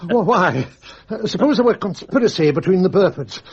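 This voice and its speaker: A fretful, pedantic judge in a radio play. His Received Pronunciation voice sits fairly high and swings in lively, exclamatory turns, in a slightly muffled recording. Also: fairly quick, husky, exaggerated voice. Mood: fretful brooding. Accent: English Received Pronunciation. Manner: fussy, exclamatory, brooding. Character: fretful, pedantic male judge, anxious and pompous. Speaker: actor in a radio drama. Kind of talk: BBC radio drama acting.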